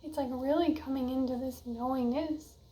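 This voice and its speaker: A young woman with a soft voice giving a casual oracle card reading, musing and drifting off mid-thought. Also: high-pitched, steady tone, moderate pace, a little hiss or hum. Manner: dreamy, musing, often trails off. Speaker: card reader.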